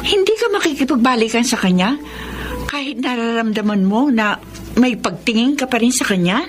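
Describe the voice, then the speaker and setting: An actress plays a concerned older sister in a radio drama. Her voice is on the high side, probing and insistent. The recording is clearly noisy. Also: expressive, moderate pace, lightly acted. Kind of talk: Tagalog radio drama acting.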